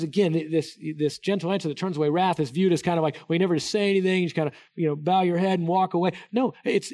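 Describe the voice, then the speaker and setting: A middle-aged man preaching a sermon, earnest, dropping to a hush and then rising to emphatic peaks, with many restarts. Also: a little high. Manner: earnest, wide swings between soft hushed and raised emphatic delivery; many restarts and repeated words. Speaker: pastor.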